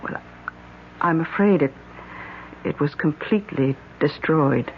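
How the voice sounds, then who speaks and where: Careful doctor, muffled old radio. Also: female voice, low voice, measured pace. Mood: gentle, hesitant. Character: careful, sympathetic woman doctor breaking bad news. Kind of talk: old-time radio drama acting. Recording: dull, hissy old radio recording.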